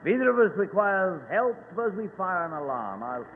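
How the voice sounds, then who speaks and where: An actor playing a steady English police inspector with a British accent in a 1940s radio drama. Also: male voice, a little fast, big-room sound, narrow, tinny audio, a little hiss or hum. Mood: businesslike. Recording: boomy, thin old radio recording.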